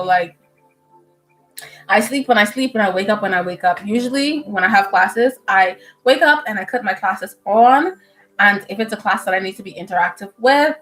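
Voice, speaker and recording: A young woman from the Bahamas chats loosely with her livestream audience, her voice sitting at a middle pitch. She talks in an animated way with plenty of fillers and easy laughter. Soft music plays quietly behind her in a room at home. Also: low-quality recording. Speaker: medical student livestreamer. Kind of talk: casual livestream chat with viewers. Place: indoors at home.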